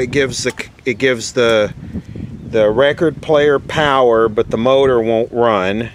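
Man, slow pace, medium pitch, casual hands-on repair narration, halting, thinking out loud. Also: a little hiss or hum. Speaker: host, vintage radio repairer. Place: beside an old console radio being worked on.

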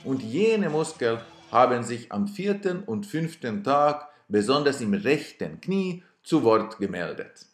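Slow, dry man narrating. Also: medium pitch, very animated, echoey room. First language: Swedish. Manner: dry, self-ironic storytelling. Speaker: cyclist narrating his trip video. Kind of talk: scripted voice-over narration.

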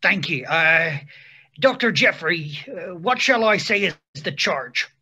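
A man playing a gruff, dutiful village constable in an old-time radio mystery, raspy and sharp. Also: high voice, animated, slow pace, heavily acted.

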